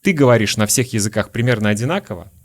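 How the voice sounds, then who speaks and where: Man from Lithuania, native Lithuanian, podcast host, low voice, long thoughtful questions. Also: animated, fast. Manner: asks long, searching questions and shares his own stories. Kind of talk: relaxed conversational interview.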